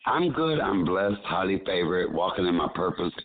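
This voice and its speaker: A man with a deep voice chats as a comedian guest, playful and teasing and quick to laugh. His speech is very low but lively in its pitch. He comes through a thin phone line. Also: noisy background. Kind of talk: joking radio chat.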